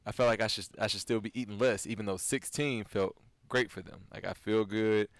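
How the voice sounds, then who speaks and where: Man, low voice, podcast co-host, affirming, questioning. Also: fairly even intonation, a little fast, no room sound. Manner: agreeable, affirming, asks long questions. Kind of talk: casual podcast discussion.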